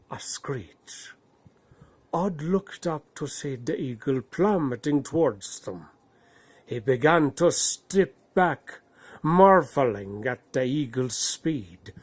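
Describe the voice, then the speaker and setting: A man narrating a bedtime story in a put-on Norse accent, with a husky voice and slow, dramatic phrasing that swells and hushes. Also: medium pitch.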